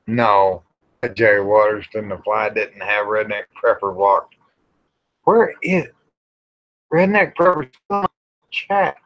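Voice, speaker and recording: A man rambles casually to livestream viewers in a folksy, grumbling way, pausing often. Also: on the low side, no echo. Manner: folksy, grumbling, drawn-out with pauses. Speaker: livestream host. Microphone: small microphone near the face. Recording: dull, muffled livestream audio.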